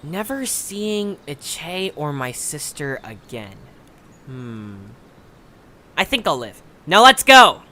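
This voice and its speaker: Man playing brash, sharp-voiced arrogant young leader. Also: on the high side, sing-song, slow pace, a little hiss or hum, heavily acted. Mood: scornful. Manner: scornful, sarcastic, brash. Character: bossy, arrogant, sarcastic young adventurer. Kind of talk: animated audio drama acting.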